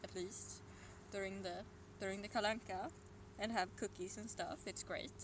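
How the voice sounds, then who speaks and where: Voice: medium pitch, steady tone, normal speed. A young adult from Sweden with a Swedish accent, chatting casually and rambling on a solo stream at home, with muttered and whispered asides. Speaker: livestreamer. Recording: noisy room ambience.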